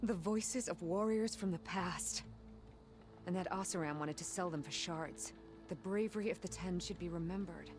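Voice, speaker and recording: An actress plays a reverent warrior woman of a tribe for a video game. She sounds earnest and formal, with a slightly low voice. There is faint music behind her. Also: moderate pace, close to an everyday voice. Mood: earnest reverence. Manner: formal, grave.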